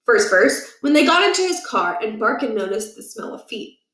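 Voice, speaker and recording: A woman reading a children's chapter book aloud in a lively, expressive way. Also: fairly high pitch, moderate pace, echoey room. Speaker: storybook reader.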